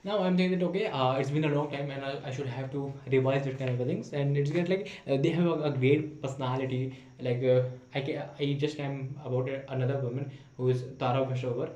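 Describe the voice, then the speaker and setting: Young man, English, halting self-practice monologue to camera, mid pitch, roomy echo. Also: steady tone, a little mellow. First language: not English. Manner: hesitant, many fillers and restarts. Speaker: vlogger practicing spoken English. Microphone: camera mic. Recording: echoey room.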